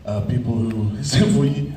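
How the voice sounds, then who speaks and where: Hesitant singer at a press conference. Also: male voice, mid-range voice, normal speed, noisy background. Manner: hesitant, many fillers. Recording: echoey room, distant mic.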